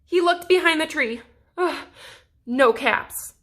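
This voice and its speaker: A woman reading a children's story aloud expressively, with deliberate pauses and dramatic emphasis. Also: high voice, a bit bright. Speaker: story reader.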